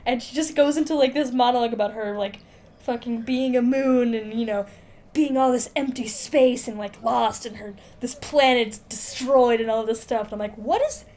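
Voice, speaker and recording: A woman's voice: fairly high pitch, moderate pace, a little mellow. A young woman bantering casually as a podcast co-host, slangy, effusive and prone to giggling.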